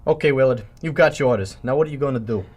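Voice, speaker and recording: A man playing a stern executive giving a grave, measured briefing in a radio drama parody. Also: medium pitch, very noisy, close to an everyday voice. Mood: firm. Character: stern executive briefing a captain on a secret mission.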